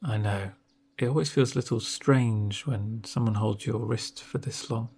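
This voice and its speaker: A man speaking softly in an ASMR roleplay as a doctor, with a gentle, reassuring, unhurried manner and a breathy voice. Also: fairly low pitch. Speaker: ASMR performer playing a doctor. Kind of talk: soft-spoken ASMR roleplay.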